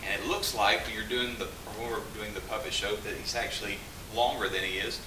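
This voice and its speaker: Lowish-voiced man, distant in an echoey room. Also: fairly even intonation, fairly quick. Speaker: puppeteer presenter. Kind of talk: informal puppet demonstration to an audience. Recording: roomy, distant mic with hiss and noise.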